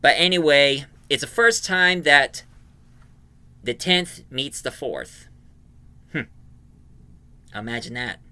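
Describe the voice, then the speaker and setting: Bright, forceful man reviewing audio drama. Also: medium pitch, expressive, takes it slowly, pauses often, no room sound. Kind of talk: informal review monologue, recapping a plot.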